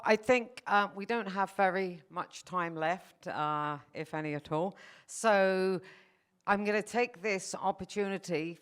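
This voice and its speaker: Woman moderator w/ a smooth clear voice, keeps saying yeah thats amazing, encouraging. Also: mid-range voice, fairly bright tone. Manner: encouraging, affirming. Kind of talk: moderating a panel. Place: lounge at a festival panel event.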